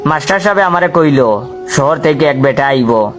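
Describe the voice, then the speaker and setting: A man's voice: fairly high pitch, animated, moderate pace, over music. A man narrating a scripted comic story as a storyteller.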